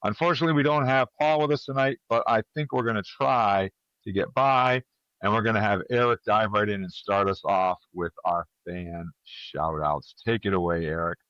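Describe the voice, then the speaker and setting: A male podcast host with a fairly low voice runs through casual announcements, informal and playfully grand. The sound is dry and a little dull. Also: steady tone, normal speed, fairly bright tone, dry recording. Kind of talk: casual show introduction and announcements.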